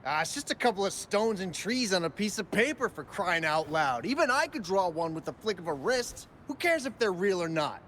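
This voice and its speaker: A brash mocking gang thug. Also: male voice, high-pitched, very animated, normal speed, hardly any pauses, a bit bright, slight background noise. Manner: blunt, mocking, impatient.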